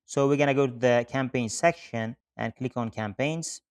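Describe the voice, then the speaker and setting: A man's voice: mid-range voice, normal speed, clean tone. A man presenting an instructional screen-share tutorial, explaining step by step and addressing the viewer directly. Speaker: online marketing tutorial presenter.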